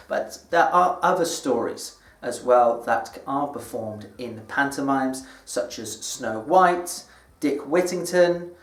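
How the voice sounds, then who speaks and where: A British man presenting an educational lesson in a deliberate, explanatory manner, like a teacher addressing language learners. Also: medium pitch. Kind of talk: scripted educational presentation to camera. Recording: echoey room, distant microphone.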